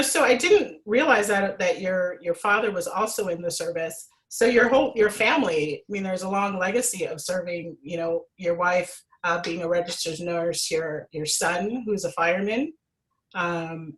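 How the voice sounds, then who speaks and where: A woman's voice: on the low side, moderate pace, rough recording. A woman originally from Jamaica, a sommelier hosting a casual interview, asking friendly, winding questions full of asides. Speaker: sommelier host.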